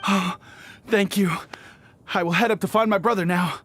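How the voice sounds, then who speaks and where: An actor playing a humble, anxious and grateful young villager in a video game. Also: male voice, high voice, steady tone, normal speed, fairly bright tone, a little hiss or hum. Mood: shaken, grateful.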